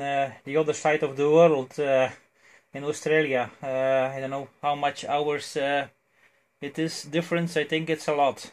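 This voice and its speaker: A man with a Belgian accent chats casually as an amateur radio operator, phrasing things haltingly. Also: fairly high pitch. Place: indoors at a cluttered electronics desk. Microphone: cell phone near the desk.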